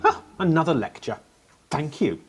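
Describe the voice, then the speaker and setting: Male actor as whiny young monarch, husky, wildly varied pitch, sarcastic, radio drama. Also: sing-song, moderate pace. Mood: mocking. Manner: whining, sarcastic. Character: petulant young uncrowned king, weak, mocking, stubborn. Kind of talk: radio play acting. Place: actor's home, recorded remotely.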